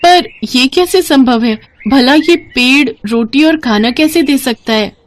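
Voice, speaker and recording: A woman voicing a meek, dutiful young daughter-in-law in a Hindi cartoon story, polite and expressive. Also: high voice, measured pace, a little hiss or hum, pitched above the performer's own voice. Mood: astonished.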